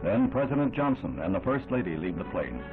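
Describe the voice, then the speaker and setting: A man with an American accent narrates a 1960s newsreel in a low, declamatory voice. His delivery is solemn and dramatic. The recording is thin and band-limited, with music clearly playing behind him. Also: very animated, fairly quick, narrow, tinny audio.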